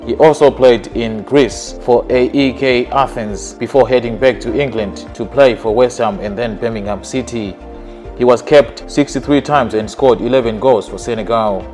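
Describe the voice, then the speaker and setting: Male narrator, mid pitch, steady scripted delivery, faint music under the voice. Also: moderate pace. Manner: even, list-like reading of facts.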